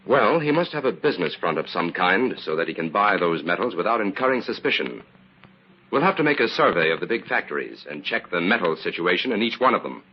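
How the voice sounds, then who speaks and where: An actor playing a calm, shrewd crime investigator in an old-time radio drama, explaining things crisply and confidently. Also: male voice, low voice, fairly quick. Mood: explaining, serious. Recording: muffled, hissy old radio recording.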